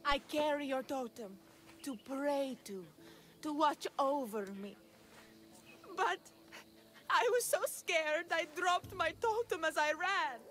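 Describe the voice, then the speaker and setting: An actress playing a devout, timid young shepherdess in a video game, halting and breathlessly reverent. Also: female voice, sits high, fairly bright tone. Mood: shaken. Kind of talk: video game cutscene acting.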